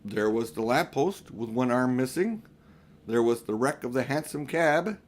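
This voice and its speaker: An older man narrating a children's audiobook in a deliberate, phrase-by-phrase manner. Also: medium pitch, lively intonation. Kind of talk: scripted storybook narration.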